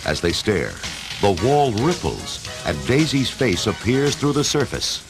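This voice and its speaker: A man's voice: lowish voice, sing-song, unhurried. A man giving theatrical scripted narration for a radio adventure story. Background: music.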